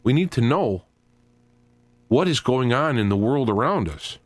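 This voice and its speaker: A middle-aged man hosting an informal solo talk radio show, speaking in a halting, digressive way as he thinks aloud. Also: medium pitch, expressive, no room sound.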